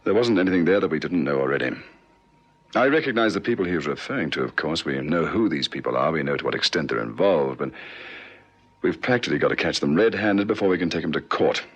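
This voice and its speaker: A man's voice: a bass voice, expressive, fast, breathy edge, dull audio, exaggerated voice. An actor playing an earnest, dogged police sergeant reporting respectfully to his superior in 1960s radio drama style. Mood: frustrated, bleak. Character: earnest, deferential male police detective sergeant, frustrated, dogged.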